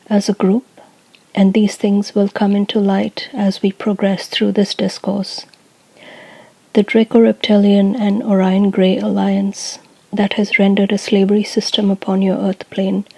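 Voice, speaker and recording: Woman delivering formal spiritual discourse, very even pitch. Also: gravelly, dry recording, low-quality recording. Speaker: channeler speaking as a group of light beings. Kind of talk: scripted spiritual discourse for guided meditation.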